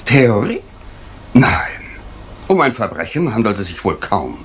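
Pompous businessman, radio drama, clipped phrases, extremely animated pitch, faint background noise. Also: measured pace. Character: pompous, thrifty middle-aged businessman husband, dismissive. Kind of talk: German radio crime drama acting.

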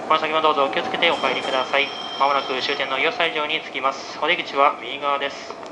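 A man's voice: flat delivery, fast. A man working as a train conductor, giving a formal, polite live announcement to passengers. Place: aboard a moving train. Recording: very noisy train PA, loud rumble. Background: loud train running noise.

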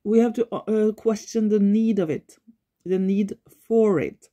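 A Norwegian woman talking unscripted in her living room, pausing often as she searches for words. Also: mid-range voice, dark tone, muffled recording. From Norway. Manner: thoughtful, searches for words, frequent pauses. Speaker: environmental vlogger. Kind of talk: unscripted talk to camera.

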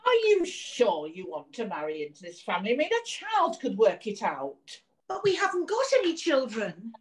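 Woman as a high-pitched, melodramatic pantomime bride. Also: huge pitch swings, moderate pace. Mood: fretful. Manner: gushing, exaggerated theatrical delivery. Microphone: video call.

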